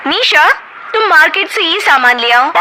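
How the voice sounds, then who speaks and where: A woman voicing a scheming, bossy young sister-in-law who taunts and orders others about in a shrill voice. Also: animated, normal speed, sharp tone, slight background noise, heavily acted, rough recording.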